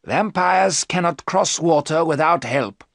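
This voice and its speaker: A man reading aloud, voicing a wise elderly professor in a grave, measured, authoritative way. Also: fairly high pitch, a bit bright, dry recording, clean studio sound, pitched above the performer's own voice.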